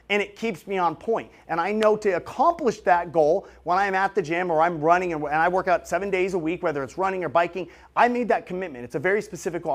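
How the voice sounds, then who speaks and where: High-pitched motivational man in his late thirties. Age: late 30s. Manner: emphatic, coaching, direct. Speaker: motivational business vlogger. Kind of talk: motivational monologue to an audience.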